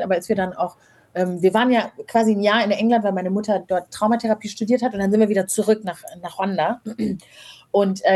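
A woman's voice: medium pitch, a little mellow. A woman in her thirties telling personal stories in an informal, reflective, chatty way as an interview guest. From born in Rwanda, grew up from age ten in small-town Germany. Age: 30s. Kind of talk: casual conversational storytelling.

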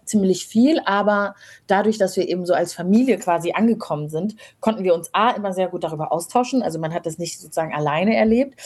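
Woman, thirties, slightly low pitch, husky tone, casual storytelling, chatty and reflective. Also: dark tone. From born in Rwanda, grew up from age ten in small-town Germany. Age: 30s. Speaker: interview guest.

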